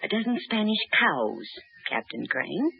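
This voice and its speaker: An actress playing a wisecracking young secretary in a 1950s radio drama, teasing and sassy. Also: female voice, mid-range voice, big pitch swings, a little slow, creaky, slight background noise. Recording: tinny old radio broadcast with music.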